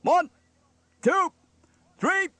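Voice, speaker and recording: Shrill, barking fire station officer. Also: male voice, big pitch swings, normal speed, gravelly, a bit bright, no room sound, exaggerated voice. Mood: stern, commanding. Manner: barking, stern orders. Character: bossy, exasperated male fire station officer, stern commander.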